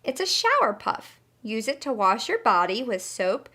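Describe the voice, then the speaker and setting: A woman storyteller reading to young children. Her voice is high and bright, with very lively intonation, and she addresses her listeners directly and expressively. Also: normal speed. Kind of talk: animated scripted story narration.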